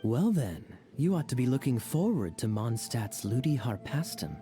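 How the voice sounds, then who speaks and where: A sly, teasing knight captain, voiced by a man in a video game. His voice is mellow and warm, with a playful lilt that rises and falls freely. Soft game music hums behind him. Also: medium pitch, big pitch swings, normal speed, natural voice.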